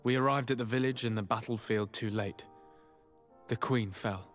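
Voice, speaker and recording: A formal noble envoy voiced by a man for a fantasy game. His mid-range voice is measured and courteous, earnest when he persuades. Music plays softly behind him. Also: medium pitch, steady tone, lightly acted. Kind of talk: video game cutscene acting. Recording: background music under the voice.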